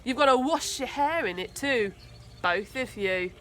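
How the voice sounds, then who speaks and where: A woman's voice: a little high, lively intonation, unhurried. A woman voicing a big thirteen-year-old boy bully from a children's storybook, leering and taunting. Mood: gloating.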